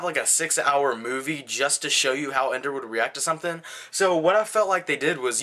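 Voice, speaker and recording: A man's voice: on the high side, sharp tone. A man giving a casual video movie review in a blunt, slangy, conversational way.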